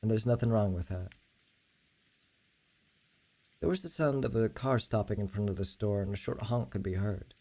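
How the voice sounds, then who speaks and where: A woman streaming a visual novel, reading it aloud and reacting with blunt, sweary, expressive commentary. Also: extremely low pitch, moderate pace, pauses often. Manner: expressive, sweary, exasperated outbursts. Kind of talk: live game read-aloud with blunt commentary. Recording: music behind the voice.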